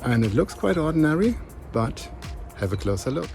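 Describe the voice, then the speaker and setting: German guy with a gravelly voice, kind of dark and mellow, chatting about his car. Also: a little high, big pitch swings, moderate pace, faint music behind. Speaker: driving instructor. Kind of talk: casual video message.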